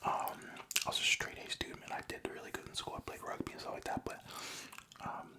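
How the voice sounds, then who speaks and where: Softly whispering young man. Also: fast. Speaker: vlogger. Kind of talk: whispered ASMR storytelling.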